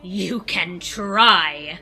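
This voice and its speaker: A woman's voice: fairly low pitch, extremely slow, bright, forceful tone, exaggerated voice. A woman playing a gloating, theatrical female villain in a superhero audio drama. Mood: menacing, taunting. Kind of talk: audio drama acting, stage villain lines.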